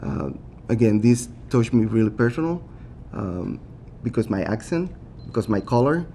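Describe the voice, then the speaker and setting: A man with a Latin American Spanish accent speaks in a low, gravelly, mellow voice, earnest and halting, full of fillers and restarts. He is a city council candidate answering at a forum. A faint rumble sits under the recording. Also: very animated.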